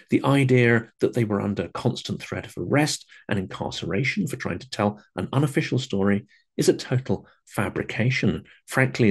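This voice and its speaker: A man reading scripted critical commentary in a measured, emphatic and disapproving manner. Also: fairly low pitch, vocal fry, darkish tone, slightly rough. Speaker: host and commentator.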